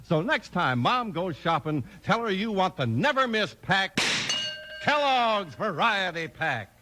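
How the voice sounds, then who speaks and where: A man's voice: high voice, sing-song, unhurried, heavy background noise. A man announcing and reading sponsor copy in a punchy, emphatic 1950s radio style. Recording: vintage 1950s radio broadcast. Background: music.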